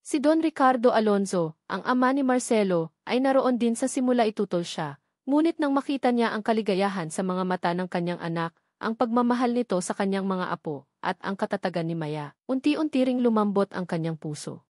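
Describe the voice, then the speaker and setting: A woman with a Filipino accent reads a scripted love story in an expressive, sentimental storytelling manner. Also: medium pitch, a little fast, dry recording, studio quality. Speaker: story narrator.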